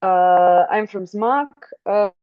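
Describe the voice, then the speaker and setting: A woman's voice: medium pitch, takes it slowly, dry recording. A woman from Croatia introducing her project on an online webinar, hesitant and full of filler sounds. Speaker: representative of a national hub. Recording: dry, dull lo-fi call audio.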